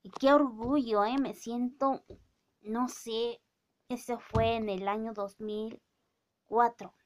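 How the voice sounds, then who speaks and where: A woman's voice: fairly high pitch, steady tone. A woman in her thirties, a native Mazatec speaker with a Mazatec-accented Spanish, scolding in a casual rant to a phone camera in a small room. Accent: Mazatec-accented Mexican Spanish. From Oaxaca, Mexico. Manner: indignant, scolding, drawn-out speech with many fillers. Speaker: vlogger. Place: small indoor room with bookshelves. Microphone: phone camera at arm's length.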